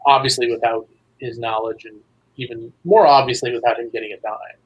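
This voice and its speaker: Wry man of medium pitch. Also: fairly even intonation, a little fast, husky, lo-fi sound. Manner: wry, joking. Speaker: guest, writer. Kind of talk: casual interview chat.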